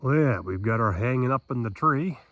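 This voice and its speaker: A man's voice: medium pitch, lively intonation, brisk, fairly bright tone, no echo, lo-fi sound. A man talking casually and informally in a folksy way, explaining things as he goes. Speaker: homesteader and amateur radio operator vlogging.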